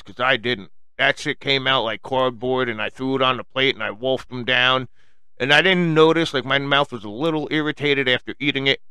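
Guy w a thick long island ny accent, sharp voice, super dry close sound, rambling and cussing like a podcast host. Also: medium pitch, clean tone, dry recording, rough recording. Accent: strong Long Island New York. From Long Island, New York, United States. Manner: blunt, profane, slangy, addresses listeners as folks. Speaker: podcast host addressing listeners. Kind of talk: casual rambling solo monologue.

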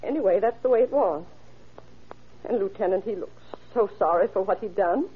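A woman's voice: mid-range voice, normal speed, slightly rough, narrow, tinny audio, natural voice. An actress playing an anxious, trusting older mother in a fretful, earnest old radio drama style. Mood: shaken, earnest. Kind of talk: vintage radio drama acting.

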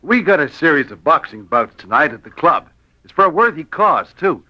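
A man's voice: a little high, sing-song, normal speed, pitched above the performer's own voice. A man voicing a persuasive, plain-talking boxing promoter in a 1940s radio story. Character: brisk, friendly male boxing promoter asking a favor. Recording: muffled vintage radio recording, music behind.

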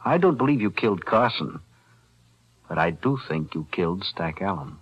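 A calm principled lawyer, deliberate and precise, lowish husky voice, bright tone, 1940s radio drama. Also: male voice, lo-fi sound, heavily acted. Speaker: defense attorney.

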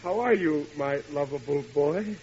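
A flustered, blustering American family man played by a male actor in an old radio comedy. His voice sits fairly high and trips over itself in a folksy stammer. The recording is dull and muffled. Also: unhurried, heavy background noise, natural voice. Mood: gushing, blustery. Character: flustered, blustering working-class family father. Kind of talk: 1940s radio comedy acting.

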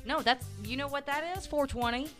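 A woman's voice: high-pitched, a little fast, over loud music. A woman co-hosting a radio show, making dry, offhand remarks. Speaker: radio co-host. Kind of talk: casual studio banter.

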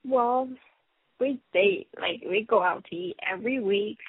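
A woman in her twenties, calling in to a radio show, talking in a shy, hesitant, halting way. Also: medium pitch, slightly rough, no room sound. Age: 20s. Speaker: caller. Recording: tinny phone line.

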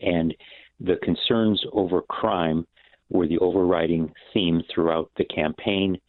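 Brief: Midwest male pundit, very low voice, firm and steady, tinny phone-line sound. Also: unhurried, no room sound. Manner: steady, assertive analysis. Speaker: political commentator. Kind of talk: radio panel discussion. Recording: phone-line guest, dry.

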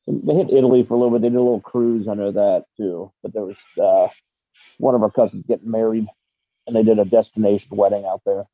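A man with a husky, low voice chats easily as a podcast guest. He tells his story plainly, and his voice comes through dry and tinny, like a phone line. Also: fairly even intonation, fast talker, dry recording. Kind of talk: casual chat.

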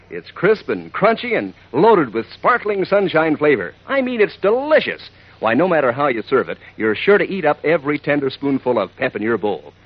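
Animated, husky 1940s radio pitchman. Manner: folksy, chummy, enthusiastic. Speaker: announcer, pitchman. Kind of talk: peppy scripted 1940s radio ad. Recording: dull old radio recording.

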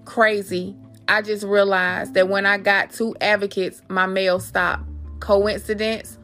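A woman's voice: mid-range voice, flat delivery, takes it slowly, a bit bright. Woman podcast host over a music bed. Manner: addresses listeners familiarly as guys. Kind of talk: personal podcast talk and reading aloud.

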